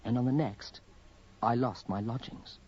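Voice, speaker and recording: A man's voice: medium pitch, measured pace, a little mellow, slightly rough, no echo. An actor playing a wretched, haunted young man in a radio horror drama, with an anguished, faltering delivery. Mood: haunted, despairing. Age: young adult. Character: tormented young man, haunted and wretched, narrating his downfall. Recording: dull, lo-fi vintage radio recording.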